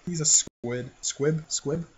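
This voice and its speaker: A man's voice: a little high, takes it slowly. A giggly, self-mocking, foul-mouthed man hosting a podcast, reading his own childhood fan fiction aloud with casual banter. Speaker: podcast host. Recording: muffled, noisy home podcast recording.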